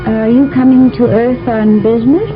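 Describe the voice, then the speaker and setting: An actress as a flirty spaceship lieutenant. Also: female voice, on the high side, fairly even intonation, normal speed, music in the background, lightly acted. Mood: flirtatious. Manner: flirtatious, teasing. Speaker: service lieutenant. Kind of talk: 1970s radio drama acting. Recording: dull old radio broadcast.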